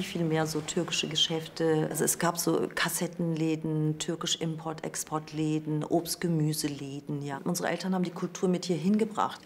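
A woman's voice: deep voice, a little hiss or hum. A woman from Berlin, a chocolate shop owner, reminiscing in a conversational documentary interview. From Berlin, Germany. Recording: music underneath. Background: music.

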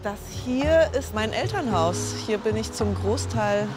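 A woman in her fifties tells stories in a casual, lively way. Faint music sits underneath her voice. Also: medium pitch, unhurried. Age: 50s. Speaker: refugee shelter manager. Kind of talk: documentary interview.

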